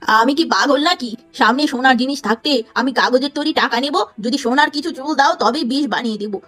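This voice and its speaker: A woman voicing a sly old woman who brews poison, speaking slowly and bargaining. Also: high-pitched, a bit bright, soft background music.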